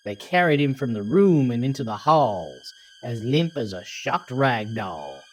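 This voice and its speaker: A man narrating a horror story in a dramatic, theatrical style, with emphatic phrasing and deliberate pauses. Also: medium pitch, very animated, rough recording. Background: music.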